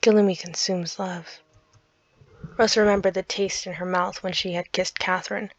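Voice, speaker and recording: A woman's voice: on the low side, fairly even intonation, moderate pace, dry recording. A woman reading a visual novel aloud as a dramatic let's play narrator. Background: faint game music.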